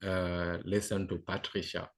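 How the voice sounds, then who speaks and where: A man with a fairly low voice moderates a webinar over a video call. He keeps his words brief and passes the floor to the panelists. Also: little pitch movement, unhurried. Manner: brief, hands the floor to speakers. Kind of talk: hosting an online panel.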